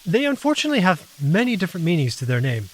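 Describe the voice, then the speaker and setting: An earnest, protective creature voiced by a man with a strikingly high voice. The pitch is extremely animated, in audio drama style. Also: very fast, heavily acted. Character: earnest, protective creature who helps humans.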